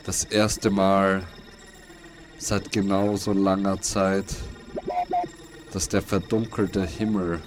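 A man reading scripted narration for an audio play in a deliberate, brooding, dramatic way, with pauses. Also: low voice, level tone. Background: music and noise loops under the voice.

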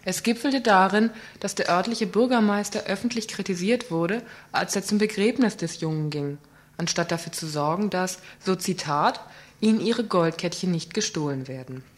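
A woman's voice: on the low side, normal speed. A woman reading a scripted report on a community radio news program, earnest and questioning, with small slips.

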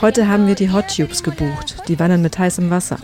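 A woman's voice: fairly low pitch, brisk. A woman reading a scripted voice-over translation.

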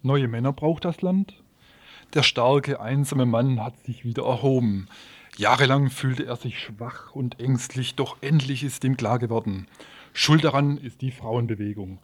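A man's voice: medium pitch, a little slow. A man reading scripted teasers for a radio news programme in a studio.

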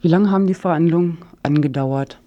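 A man presents a radio news report from a script. His voice sits on the high side for a man, with a dry sound and no room echo. He occasionally stumbles over words while reading. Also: fairly quick, warm tone, lo-fi sound.

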